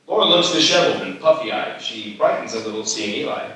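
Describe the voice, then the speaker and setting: A man narrates stage directions at a live script reading. His voice is bright and comes from away from the mic, in a very echoey hall with some ambient noise. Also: medium pitch, expressive, a little fast, far from the mic.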